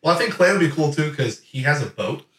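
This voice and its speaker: Bright-voiced man bantering from across an echoey room. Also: a little high, quick pace. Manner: animated storyteller who acts out imagined quotes, profane. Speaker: podcast host. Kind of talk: casual sports banter. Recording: roomy echo, distant from microphone.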